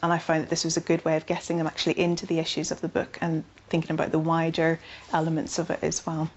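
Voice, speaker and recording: A woman's voice: low-pitched, completely flat delivery, fast, muffled recording. A woman explaining things informally in an interview, as a teacher. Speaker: English teacher.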